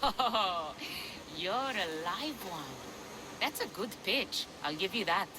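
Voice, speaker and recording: A woman's voice: medium pitch, lively intonation, slow pace, noisy background. An actress playing a blunt, sardonic, foul-mouthed female pirate ship captain in a video game cutscene. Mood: gruff, irritated.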